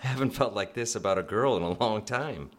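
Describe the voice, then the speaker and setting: Casting: actor as earnest male suitor, lowish voice, gently hesitant and attentive, radio play. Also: a little fast, natural voice. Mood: tender, hesitant. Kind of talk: live radio drama acting.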